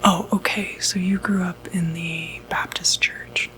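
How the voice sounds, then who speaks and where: A woman playing a gentle, reassuring doctor in an ASMR medical roleplay, speaking in a breathy, soothing whisper. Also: lowish voice, fairly even intonation, poor audio. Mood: calm, explanatory. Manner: soothing, unhurried, hesitant phrasing. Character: gentle, reassuring doctor who treats demonic possession.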